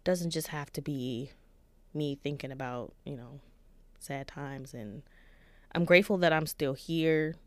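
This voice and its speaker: A woman in her thirties co-hosting a podcast in casual chat, soft-spoken and reflective. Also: low-pitched, measured pace, no room sound. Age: 30s. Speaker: podcast co-host.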